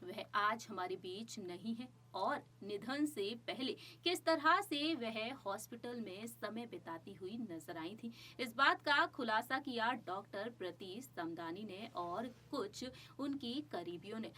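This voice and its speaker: A woman presenter reading a scripted entertainment news report. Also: mid-range voice.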